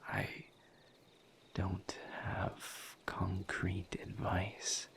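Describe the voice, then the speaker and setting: Man acting a very slow, whispering gentle demon. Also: low voice, full character voice. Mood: earnest, soothing. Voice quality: hushed, breathy near-whisper. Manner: measured, deliberate, formal phrasing with long pauses. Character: calm, gentle, formal male misery demon. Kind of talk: soft-spoken roleplay audio, intimate monologue to listener.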